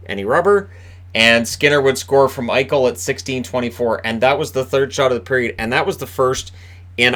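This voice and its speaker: A man hosting a casual solo hockey recap from a room in his home, with a booming voice, talking in a rambling, chatty way with lots of fillers and restarts. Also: fairly bright tone. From Canada. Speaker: hockey commentator and video host.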